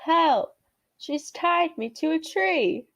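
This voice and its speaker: A woman's voice: very high voice, expressive, slow pace, gravelly, a bit bright, heavily acted. A woman playing a panicked, tied-up victim in an old-time radio play.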